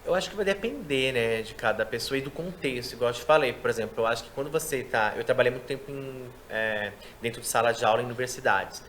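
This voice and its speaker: A man who works as a sign language interpreter, chatting in an interview and explaining his work in a lively way. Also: mid-range voice, slight background noise. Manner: quick, explanatory, animated delivery. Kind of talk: casual interview chat.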